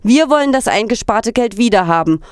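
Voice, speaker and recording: A woman's voice: on the high side, lively intonation, brisk, clear, resonant tone, no room sound, clean studio sound. A woman reading scripted news articles and statements in a formal style. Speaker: reader of quoted articles and statements.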